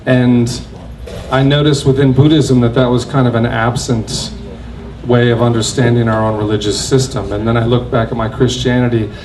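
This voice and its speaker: A man in his forties speaking as a panel guest in a crowded covered pavilion, measured and reflective, into a stand mic. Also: mid-range voice, little pitch movement, crowd noise, low-quality recording. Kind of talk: panel discussion.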